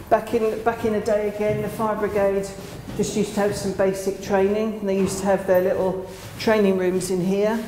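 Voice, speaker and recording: A middle-aged woman giving an informal guided tour, explaining as she walks, with slightly halting phrasing. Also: mid-range voice, mellow, slight background noise. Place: indoors in disused underground station, tunnels and train carriage.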